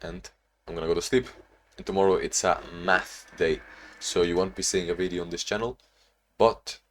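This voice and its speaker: A young man talking in a casual, reflective diary-style vlog, halting with frequent restarts and sounding a bit sleepy. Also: sits low. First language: not English; Portuguese not first language either. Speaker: vlogger.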